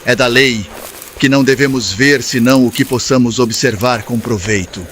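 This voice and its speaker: A wise male spirit mentor played by a man speaks. He is calm, solemn and instructive, with measured formal phrasing for an audiobook story. A light layer of ambient noise sits behind him. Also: mid-range voice, close to an everyday voice. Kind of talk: scripted audiobook dialogue, lecturing.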